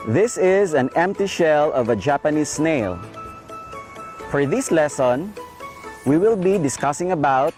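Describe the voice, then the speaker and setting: A man's voice: a little high, a little mellow. A man giving a scripted art lesson as a professor. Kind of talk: scripted instructional video lecture. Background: music.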